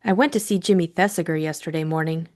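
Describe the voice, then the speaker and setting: A woman voicing a brisk, sardonic young upper-class Englishwoman in an audiobook, with a dry, sarcastic manner. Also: low-pitched, a little mellow, close to an everyday voice. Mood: desperate. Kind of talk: audiobook character acting.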